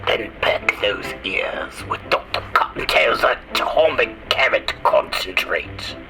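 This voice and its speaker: A man voicing a hushed snake-oil salesman pitching a rabbit tonic in a 1940s-style radio ad parody, speaking low and drawn out. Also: creaky, heavily acted, low-quality recording.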